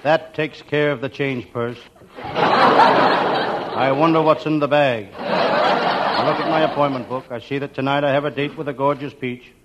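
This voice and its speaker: A man playing a wisecracking, down-on-his-luck private detective in a 1940s radio comedy, with deadpan, slangy delivery. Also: medium pitch, measured pace, pauses often, close to an everyday voice. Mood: deadpan, wry. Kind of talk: 1940s radio comedy acting, scripted first-person narration. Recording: muffled, very noisy old radio recording.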